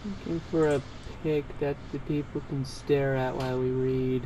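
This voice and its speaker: A woman's voice: extremely low pitch, fairly even intonation, moderate pace. A woman chatting casually. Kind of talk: casual chat. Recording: clearly noisy room recording.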